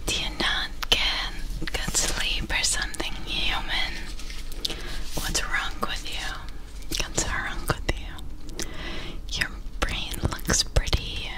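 A woman's voice: slow, low-quality recording. A young adult woman whispering close to a binaural microphone in an ASMR roleplay as a nervous, squeamish alien doctor, with hesitant, repetitive muttering. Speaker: ASMR performer. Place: small dim indoor room. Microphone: close binaural ear microphone.

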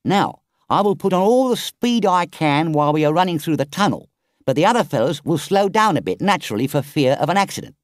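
A man's voice: fairly high pitch, lively intonation, a little fast, no room sound, clean studio sound, pitched above the performer's own voice, lightly acted. A man voicing a stern but kind-hearted male engine driver, speaking earnestly in a storybook reading. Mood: urgent. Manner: earnest, urgent.

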